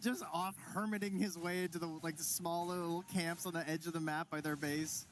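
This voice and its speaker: Fairly high-voiced male esports analyst, noisy background. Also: level tone, a little fast. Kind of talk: conversational live game analysis. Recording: clear background noise behind voices.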